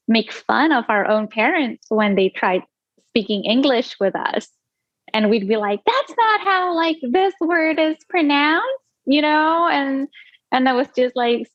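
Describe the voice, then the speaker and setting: A woman's voice: mid-range voice, no room sound. Brief: young woman author, chatty and filler-heavy, dry close sound, lo-fi call audio. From Indonesia. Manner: chatty, many fillers and laughs. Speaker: author guest. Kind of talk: casual interview chat.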